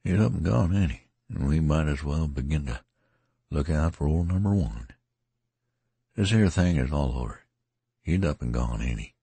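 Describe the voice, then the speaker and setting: A man reading a weary wounded soldier in an audiobook, with a rustic, rambling drawl and a creaky, husky voice. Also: extremely low pitch, expressive, normal speed, long pauses, dark tone, no echo, full character voice.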